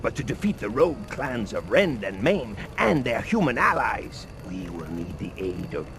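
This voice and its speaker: A man's voice: lowish voice, very animated, moderate pace, a bit bright. A man playing a solemn clan elder in a fantasy game cutscene, in noisy game audio.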